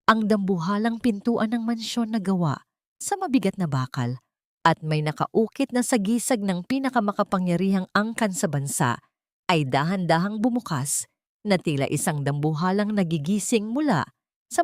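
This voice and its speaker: A woman reading a scripted, dramatic love story in a measured, solemn, melodramatic way. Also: medium pitch, lively intonation, darkish tone, dry recording, clean studio sound. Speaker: story narrator.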